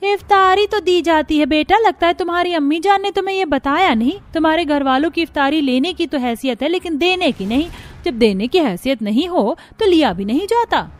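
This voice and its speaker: Woman acting a haughty rich neighbour, high-pitched. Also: a little fast, natural voice. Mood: haughty taunting. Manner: haughty, sneering. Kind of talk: Hindi cartoon story voice acting.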